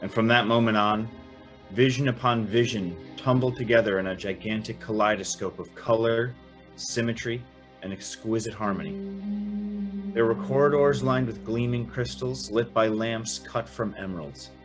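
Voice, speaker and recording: A man's voice: mid-range voice, moderate pace, soft background music, close to an everyday voice. An actor playing an earnest, awestruck young man who also narrates an old-time radio drama. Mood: wondering narration. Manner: hesitant, stammering in dialogue.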